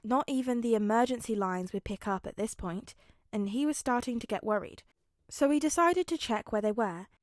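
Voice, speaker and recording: Higher-pitched woman narrating a story. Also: normal speed, few pauses, no room sound, clean studio sound. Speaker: narrator, podfic reader.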